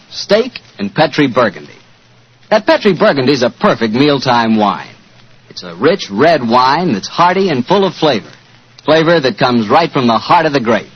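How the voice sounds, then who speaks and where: A man's voice: mid-range voice, slightly rough. A man with an American accent, announcing and reading sponsor copy as a 1940s radio host. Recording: dull lo-fi 1940s radio recording.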